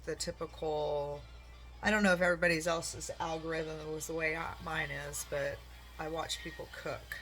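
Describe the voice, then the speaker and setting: A woman in her forties with a quiet voice, chatting casually and meandering while she bakes in her home kitchen, filmed on a phone. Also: low-pitched, fairly even intonation, moderate pace, poor audio. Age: 40s. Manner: soft-spoken, meandering, self-deprecating. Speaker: home cooking vlogger. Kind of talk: casual rambling while baking.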